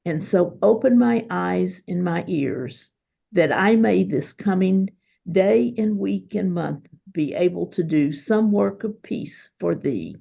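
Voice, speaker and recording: A woman, a religious sister, reading a prayer aloud in measured phrases. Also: fairly low pitch, animated, gravelly, warm tone. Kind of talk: slow read-aloud prayer.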